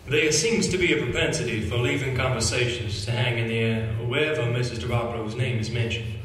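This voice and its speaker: An actor on stage playing a condescending, articulate city solicitor in a theatrical monologue. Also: male voice, mid-range voice, fairly quick, fairly bright tone, soft background music, natural voice. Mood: musing, condescending. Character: condescending young city solicitor musing aloud, articulate and superior. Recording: very echoey hall, distant mic, hiss.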